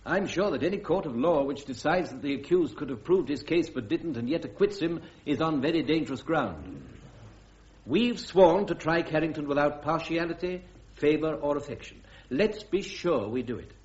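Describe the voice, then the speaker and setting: Casting: male actor as stern military court president, deliberate, authoritative, fairly high male voice, muffled radio play. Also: lively intonation, darkish tone, natural voice. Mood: grave, formal. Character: stern, measured presiding officer of a court-martial. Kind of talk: radio drama acting. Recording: dull, muffled radio drama recording.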